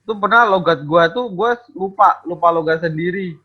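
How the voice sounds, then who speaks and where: A man from Papua telling stories in a casual chat among friends, in animated Jakarta slang. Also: high-pitched, moderate pace, breathy edge. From Papua, Indonesia. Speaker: podcast co-host. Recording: dull lo-fi audio.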